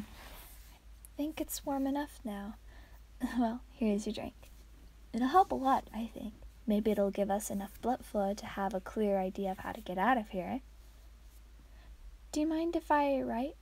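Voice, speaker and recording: Girl doing a friendly part-dragon lady asmr roleplay, soft n chatty, kinda scatterbrained, bit of background noise and clicking. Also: medium pitch, natural voice. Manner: soft-spoken, chatty, musing. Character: friendly, absent-minded part-dragon woman traveler. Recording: faint noise, clicking sounds, outdoors.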